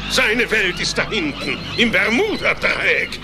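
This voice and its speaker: An actor playing a gruff, stubborn old sea captain in a cartoon audio play, with a sardonic way of talking. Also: male voice, high voice, expressive, a little slow, noisy background. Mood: wistful, amiable. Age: older. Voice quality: gravelly. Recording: music under the voice. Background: music.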